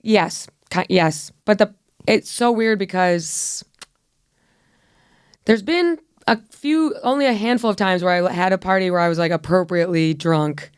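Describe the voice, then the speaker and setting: A young woman from Buffalo sits at a close studio mic and tells it straight, rambling and swearing freely. Her voice is smooth and resonant even as she says it is going. The sound is dry, with no room around it. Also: lowish voice, a little slow, dry recording. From Buffalo, New York. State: losing her voice. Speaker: guest comedian. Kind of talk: casual podcast chat.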